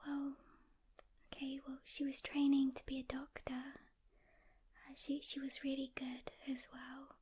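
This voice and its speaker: A woman playing a calm, weary demon hunter mentoring a newcomer in a gentle, hesitant whisper, as soft-spoken ASMR roleplay. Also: sits high, a little slow, pauses often. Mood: wistful, subdued.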